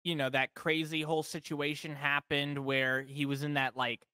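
Bright-voiced young man rambling casually. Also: fairly high pitch, little pitch movement, moderate pace, clean tone, no room sound, clean studio sound. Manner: rambling, with many fillers. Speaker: co-host of a movie reaction channel. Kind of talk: casual reaction chat. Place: small home room, indoors. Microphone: studio mic on a stand, headphones.

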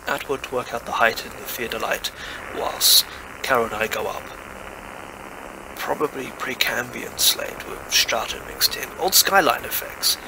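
A man's voice: mid-range voice, noisy background, lightly acted. A man playing a driven, zealous scientist-explorer in an audio drama, earnest and intense. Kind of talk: dramatic audio play acting.

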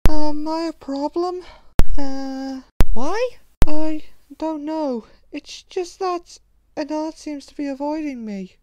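A woman voicing a gentle, caring young female animatronic clown, earnest and a little hesitant, in a comic dub. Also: high-pitched, slow pace, noisy background. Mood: thoughtful, earnest. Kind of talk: emotional comic dub acting.

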